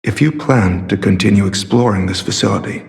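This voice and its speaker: A secretive masked hunter from a video game, with a fairly low voice and a creaky edge. He speaks formally and gravely, choosing his words with earnest care. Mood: grave, resolute. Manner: measured, formal, solemn. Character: secretive, earnest masked warrior hunting corrupt alchemists. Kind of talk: scripted game character dialogue.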